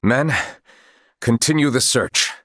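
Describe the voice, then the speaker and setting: A man's voice: medium pitch, slow, fairly bright tone, no echo, clean studio sound. A man playing a composed, proud knight commander of royal blood in a video game, speaking in formal, archaic, courtly phrases. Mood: grave.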